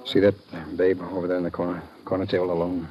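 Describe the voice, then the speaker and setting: An actor playing a matter-of-fact undercover agent in an old-time radio drama, casual and conspiratorial. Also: male voice, sits low, level tone, quick pace, dull audio, close to an everyday voice. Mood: confiding, serious. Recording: old radio recording with crowd murmur. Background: crowd murmur.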